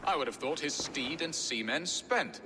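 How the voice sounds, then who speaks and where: A man's voice: a little high, animated, moderate pace, natural voice. An actor playing a wry, lovelorn middle-aged medieval English nobleman in a video game, with florid, courtly phrasing. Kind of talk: video game cutscene acting. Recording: long reverberant hall, faint noise.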